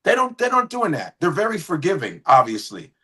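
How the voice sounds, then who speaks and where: Blunt, bright-voiced indignant man. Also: fairly high pitch, huge pitch swings, a little fast, husky. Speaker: guest commentator. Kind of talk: heated opinion talk.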